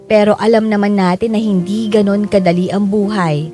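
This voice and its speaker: A woman's voice: mid-range voice, fairly even intonation, normal speed, warm tone, natural voice. A woman playing a humble, grateful, hopeful parent of a poor family, narrating reflectively in the first person for a radio drama. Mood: grateful, wistful. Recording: music bed under the voice.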